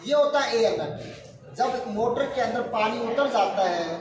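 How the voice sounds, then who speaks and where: A man's voice: very high-pitched, expressive, measured pace, distant mic. A man in his forties teaching a hands-on workshop lesson, explaining step by step and often asking the students if they understood. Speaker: workshop instructor. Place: crowded indoor workshop, students standing around. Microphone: far phone camera. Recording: echoey room, voice from afar, crowd noise.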